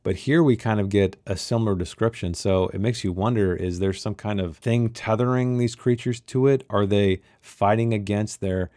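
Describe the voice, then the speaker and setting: A man with a low, mellow voice leads a book read-along. The sound is dry and close. He moves between reading prose and casual, musing commentary, often posing rhetorical questions. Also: moderate pace, hardly any pauses, dry recording.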